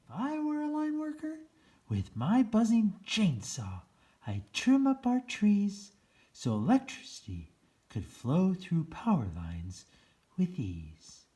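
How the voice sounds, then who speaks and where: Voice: medium pitch, wildly swooping pitch, slow pace, dark tone. A storyteller reading a children's picture book aloud in a theatrical, playful way.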